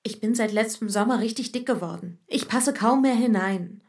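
A fairly high voice from an actress plays an aloof young wife in a radio drama. She sounds cool, offhand and indifferent. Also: moderate pace, darkish tone, lightly acted. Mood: offhand, dismissive. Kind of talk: German radio play acting.

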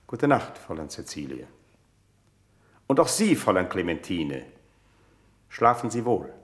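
A man's voice: low-pitched, very animated, unhurried. An actor playing a formal, deferential male household servant in a German radio play, with stiff, courteous, old-fashioned phrasing.

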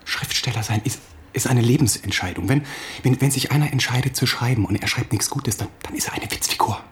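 An actor playing a young writer in a radio play, insecure and proud, with a halting, accusing way of talking. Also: male voice, medium pitch, lightly acted. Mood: anguished. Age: young adult. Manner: halting, repeats words, accusing. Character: insecure, touchy young male writer, wounded pride.

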